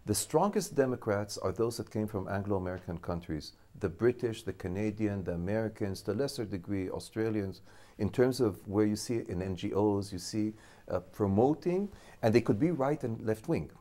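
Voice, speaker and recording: A man in his fifties who grew up in Israel, a school director speaking as an interview guest, thinking aloud with halting restarts. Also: fairly low pitch, animated, warm tone. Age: 50s. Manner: thoughtful, halting, restarts while reasoning. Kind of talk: studio interview.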